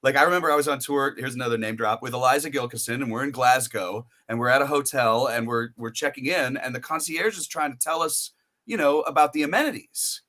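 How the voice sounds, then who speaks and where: Bright-voiced American man hosting. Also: mid-range voice, brisk. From United States. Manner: rambling, storytelling with many restarts. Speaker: podcast host. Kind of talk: casual interview chat.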